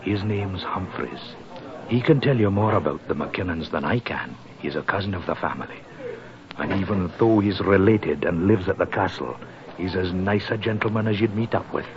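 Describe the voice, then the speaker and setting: A grim Scottish village innkeeper in an old radio play. His voice is low and folksy, respectful yet full of foreboding. The sound is that of a dull 1940s broadcast. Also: moderate pace, a crowd in the background. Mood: helpful. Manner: ominous, deferential, folksy. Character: grim, superstitious Scottish village innkeeper who hates the local laird.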